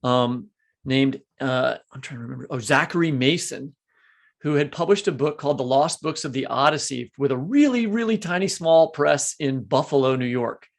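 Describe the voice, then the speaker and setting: A man's voice: mid-range voice, normal speed, creaky. A man co-hosting a conversational panel discussion, reflective and searching for his words. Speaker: podcast co-host.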